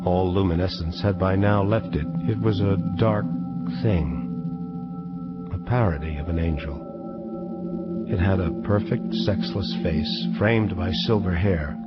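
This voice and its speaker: An actor playing a somber angel detective who narrates in the first person, solemn and deliberate, in hardboiled style. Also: male voice, deep voice, muffled recording, over loud music. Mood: detached, observant.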